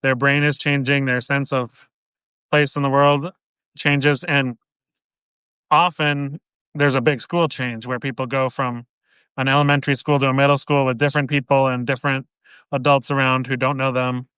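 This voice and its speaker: A man being interviewed as an author, explaining in a measured way with "um" pauses. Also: mid-range voice, flat delivery, bright, forceful tone, clean tone, no echo, rough recording. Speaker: guest author. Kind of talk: interview answers.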